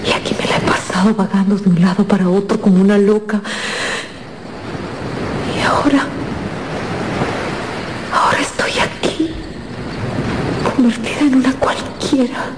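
An actress playing a timid, guilt-ridden young married woman in a radio drama, with an emotional, halting, pleading delivery. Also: medium pitch, very noisy, natural voice. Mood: ashamed, distressed. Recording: clear music behind. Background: music.

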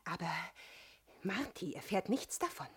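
A woman's voice: mid-range voice, very animated, a little slow, slightly rough, heavily acted. An actress playing a defiant young female crook who answers the police sharply and defensively in a 1970s radio play. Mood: sullen, wary.

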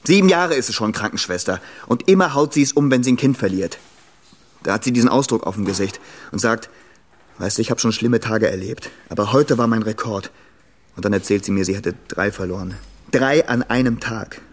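An actor playing a bitter, defensive boyfriend in a radio crime drama, talking bluntly and colloquially. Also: male voice, fairly low pitch, fairly quick, lightly acted. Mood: troubled recounting. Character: defensive, bitter, rough-edged boyfriend of a nurse.

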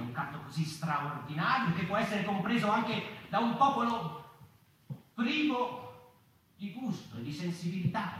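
An actor playing a wryly musing narrator of a mouse people in a questioning, hesitant theatrical monologue. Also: male voice, a little high, a bit bright. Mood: questioning, animated. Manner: rhetorical, hesitant repetitions, tag questions. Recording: echoey hall from far off, noisy.

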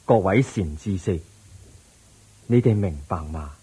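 A serene, wise Buddha in a radio drama, preaching in Hong Kong Cantonese. The voice is low and very husky, unhurried and solemn, with drawn-out phrases. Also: male voice, lively intonation, mellow, heavily acted. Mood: solemn teaching. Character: serene, wise Buddha patiently teaching his disciples.

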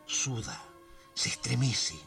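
A man's voice: medium pitch, sing-song, takes it slowly, creaky, fairly bright tone, heavily acted. An ominous radio serial narrator, taking his time over every phrase. The delivery is theatrical and solemn, built for suspense, with music running underneath. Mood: grave suspense.

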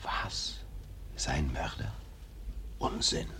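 An actor in a radio play playing a skeptical, formal gentleman with a sardonic, rhetorical way of speaking. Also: very slow, whispered. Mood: disbelieving. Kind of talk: German radio play acting. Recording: noisy old radio recording.

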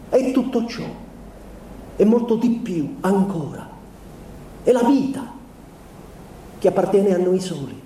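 A sensitive young husband, played by a male actor, makes a painful confession in a radio play monologue. His voice is mellow and a little high for a man, and he weighs each short phrase between pauses. The recording is very echoey, as if from across a room. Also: distant mic, a little hiss or hum, natural voice. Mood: earnest, reflective. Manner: measured, deliberate, pausing between short phrases. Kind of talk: literary radio theatre monologue.

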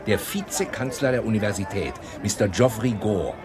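Male actor as a teasing scandal-monger, radio drama. Fairly low voice, relishes the tale, busy crowd around him. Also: normal speed, lightly acted. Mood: gossipy, teasing. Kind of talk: radio drama dialogue, anecdotal storytelling.

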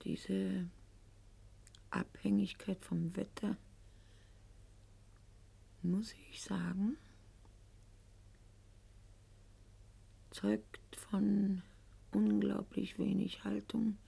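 A woman's voice: medium pitch, little pitch movement, drawn-out words, vocal fry, pauses often, warm tone, husky, exaggerated voice. An actress playing a proud, calculating eighteenth-century noblewoman in a radio drama monologue, with formal, haughty and eloquent diction addressed to her husband. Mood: subdued, brittle.

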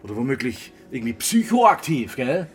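An actor playing a jovial, quirky fruit researcher, chatty and teasing, in a radio drama. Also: male voice, medium pitch, sing-song, moderate pace, faint music behind, lightly acted. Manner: chatty, folksy, teasing, tag questions. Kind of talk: German radio drama acting.

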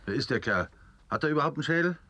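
A man's voice: a little high, lively intonation, very fast, sharp tone. An actor playing a grumbling, harried delivery man in a radio drama. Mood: puzzled, irritated.

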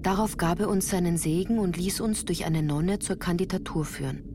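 A woman's voice: lowish voice, normal speed, background noise. A woman reading scripted literary first-person narration for a radio play, composed and carefully articulated. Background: music.

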